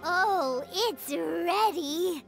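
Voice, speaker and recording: Squeaky, tiny childlike fairy companion. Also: female voice, lively intonation, drawn-out words, creaky, over music, heavily acted. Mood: excited. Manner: perky, emphatic, speaks of self in third person. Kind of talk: anime game voice acting.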